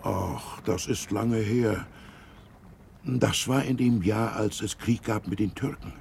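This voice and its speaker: A man's voice: lowish voice, slow, vocal fry, a little mellow, a little hiss or hum. An actor playing a servile, rustic Russian coachman who gossips plainly in a German radio drama. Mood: matter-of-fact, chatty.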